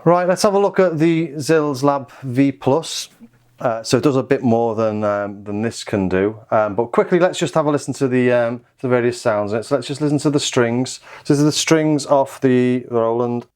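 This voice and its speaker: A man's voice: mid-range voice, normal speed, darkish tone. A man giving a casual, hesitant gear demonstration as a reviewer, thinking aloud as he goes. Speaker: synthesizer reviewer.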